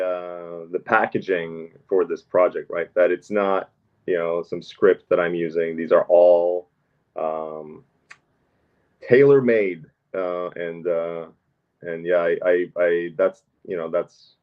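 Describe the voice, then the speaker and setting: A man's voice: low voice, unhurried. A man from Norway chatting casually as a podcast guest, rambling with lots of fillers and restarts, short on sleep. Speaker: NFT artist guest. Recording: dull lo-fi sound.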